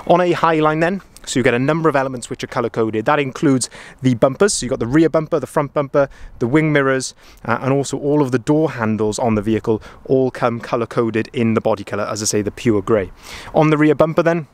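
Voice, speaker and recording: A man's voice: mid-range voice, fast talker, dry recording. A young man doing a walkaround review of a van outdoors, upbeat and enthusiastic with frequent ums. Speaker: van salesman. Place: outdoor car lot beside a van. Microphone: camera-mounted mic, filming while walking.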